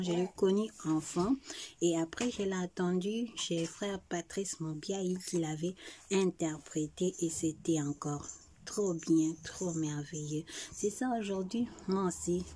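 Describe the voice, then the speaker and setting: A woman speaks slowly and softly in a warm, mellow voice, earnest and devout as she addresses her viewers. Her pitch sits on the lower side for a woman. A faint hiss of background noise lies under the recording. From Congo. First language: Tshiluba. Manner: soft, earnest, devout, often hushed. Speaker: vlogger. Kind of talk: personal chat before singing.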